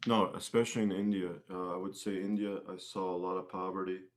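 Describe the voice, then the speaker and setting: A man with a low-pitched voice talks casually as a guest in an interview. He speaks in a halting way, often starting a thought and then rewording it as he goes. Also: little pitch movement. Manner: halting, rephrases mid-thought. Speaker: interview guest, singer-musician. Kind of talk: casual conversational interview answers.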